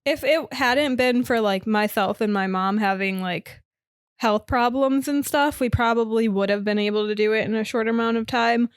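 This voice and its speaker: Young female podcast co-host, chatty and filler-heavy, smooth clean voice, dry recording. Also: a little high, normal speed, dry recording. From Michigan. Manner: chatty, recounting with many fillers. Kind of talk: casual chat.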